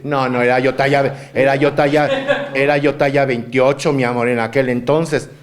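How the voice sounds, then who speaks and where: A man's voice: medium pitch, fairly even intonation, moderate pace. A man in his fifties with a Mexican Spanish accent, a guest telling stories in a campy, playful way. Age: 50s. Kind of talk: casual chat.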